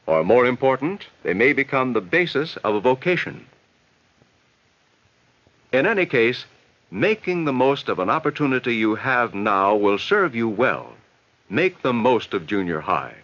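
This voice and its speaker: An American man reading scripted, instructive narration in a measured, advising way for a 1960s educational film. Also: medium pitch, very animated, fairly bright tone. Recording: dull vintage 1960s film soundtrack.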